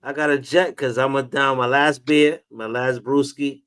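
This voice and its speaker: A man's voice: mid-range voice, fairly even intonation, moderate pace, fairly bright tone, smooth. A man hosting a live watch party stream, chatting casually in slang, calling people bro and laughing often. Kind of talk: casual chat.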